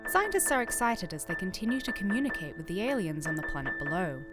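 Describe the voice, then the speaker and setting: A woman's voice: medium pitch, sing-song, quick pace, loud music behind the voice, natural voice. A woman playing a friendly radio co-host and Earth enthusiast in a science fiction audio drama. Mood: newsreader poise.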